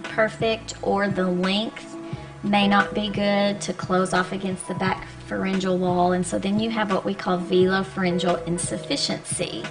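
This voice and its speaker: Woman guest on a radio show, patient and measured, explains things step by step, music playing under her. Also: mid-range voice, little pitch movement. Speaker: speech-language pathologist guest. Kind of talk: radio interview, explaining clearly. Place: radio studio. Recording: radio studio with music behind.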